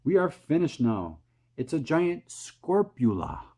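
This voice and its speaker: A man's voice: fairly high pitch, sing-song, slow pace, dark tone, pitched above the performer's own voice, heavily acted. A man voicing a fussy, anxious head of the fire department who talks in a blustering way. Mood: panicked.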